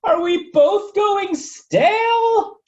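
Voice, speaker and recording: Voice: medium pitch, wildly swooping pitch, moderate pace, pitched above the performer's own voice. A children's book author voicing a fretful female pancake, over a muffled lo-fi stream. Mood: worried.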